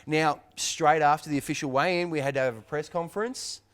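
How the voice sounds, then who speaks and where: A man's voice: fairly high pitch, a little fast. A man hosting a boxing show, leading an upbeat casual rundown of fight week. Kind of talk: casual sports chat.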